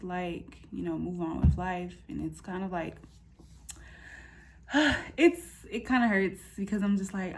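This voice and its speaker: A woman of thirty giving a casual personal update, talking in a candid, informal, slangy way. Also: fairly low pitch. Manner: candid, informal, slangy, animated retelling with quoted asides. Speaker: vlogger. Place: apartment room, indoors.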